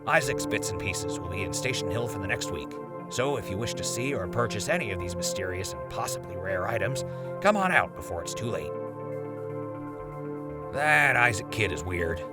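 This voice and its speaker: Low-voiced, chatty wasteland radio host played by a man. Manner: chatty, folksy, wry. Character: chatty, folksy male post-apocalyptic radio host. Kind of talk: in-character sponsor reads, conversational radio patter. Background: loud music.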